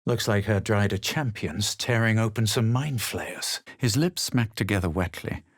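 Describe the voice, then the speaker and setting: A man voicing a haughty, cool young adventurer hero in a video game, theatrical and measured, with a husky voice. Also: lowish voice, no room sound, clean studio sound. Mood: brooding, measured. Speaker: video game player character. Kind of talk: scripted game voice lines, dramatic narration and barks.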